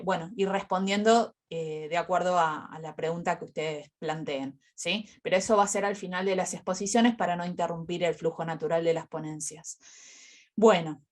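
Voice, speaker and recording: A woman moderating an online academic conference, introducing speakers in a halting, hesitant way. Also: on the low side. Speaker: session coordinator and moderator.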